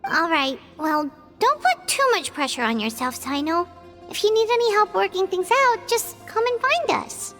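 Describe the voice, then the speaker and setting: A woman's voice: extremely high pitch, big pitch swings, normal speed, a bit bright, faint music behind, exaggerated voice. An actress playing a caring, earnest young girl in a video game, a supportive friend. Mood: gentle concern.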